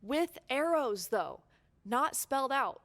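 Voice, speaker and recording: High-voiced, sardonic wrestler co-host. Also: female voice, sing-song, fairly bright tone, full character voice. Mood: teasing. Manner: dry, teasing, unhurried. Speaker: wrestler co-hosting a show. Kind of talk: scripted video game cutscene acting.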